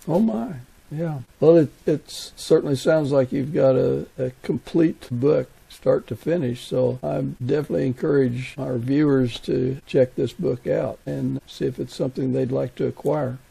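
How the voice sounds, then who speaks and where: Male podcast host, very mellow and gravelly, casual interviewing. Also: medium pitch, normal speed, few pauses, poor audio.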